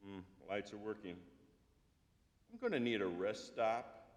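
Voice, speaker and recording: A tired woman on a long snowy drive speaks in short, weary lines, as a man reading a story aloud puts her on. The voice sits fairly low. A long church reverb trails behind it. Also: lively intonation, measured pace. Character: tired female traveling companion, woman.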